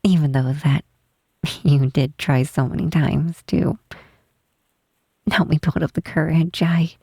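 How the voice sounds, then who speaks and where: A woman playing a shy, guilt-ridden half-troll cleric in an intimate ASMR roleplay, speaking softly and hesitantly with apologetic pauses and sighs. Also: sits low, steady tone, dry recording, clean studio sound, exaggerated voice. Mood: guilty, regretful. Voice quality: soft, husky, breathy.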